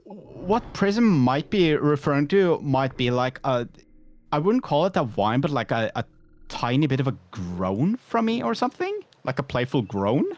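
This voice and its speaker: A man's voice: medium pitch, big pitch swings, normal speed. A man, chatting casually on a game stream with many fillers and restarts. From Norway. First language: Norwegian.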